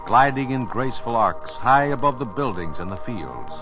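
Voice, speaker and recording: A man giving scripted dramatic narration as a 1950s radio host, in a measured announcer style. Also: mid-range voice, expressive. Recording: muffled vintage radio transcription. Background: music.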